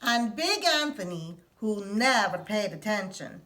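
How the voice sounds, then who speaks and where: A woman reading a children's picture book aloud in a put-on Italian accent, telling it in a lively, expressive way. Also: medium pitch, unhurried, low-quality recording.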